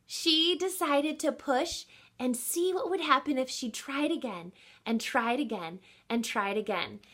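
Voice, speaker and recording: A woman teacher reading a picture book aloud to children in an expressive, instructive way, with deliberate emphasis. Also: high voice. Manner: teacherly, asks listeners questions, deliberate emphasis.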